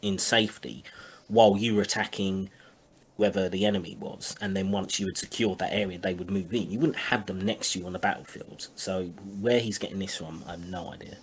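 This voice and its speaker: A man in a casual critical panel discussion, speaking in a halting, deliberate and sceptical way. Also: sits low, fairly even intonation, a little hiss or hum. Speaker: panel commentator.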